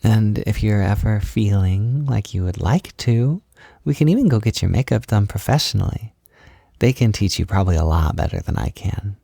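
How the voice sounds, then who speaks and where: A gentle, encouraging boyfriend figure, played by a man in an intimate audio roleplay. His soft voice is relaxed and mellow with a noticeable creak, often easing toward a whisper. He phrases things casually and soothingly, in a very dry, close recording. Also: lowish voice, normal speed, no room sound. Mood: chatty, encouraging. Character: gentle, reassuring male partner doing a beginner's makeup. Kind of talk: intimate ASMR-style roleplay, softly spoken.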